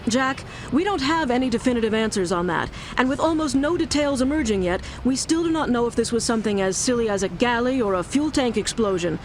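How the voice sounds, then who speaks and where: A woman's voice: on the high side, expressive, brisk, few pauses, close to an everyday voice. An actress playing an earnest female TV news reporter, speaking formally and seriously as in a live broadcast. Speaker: field reporter. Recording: faint outdoor background noise.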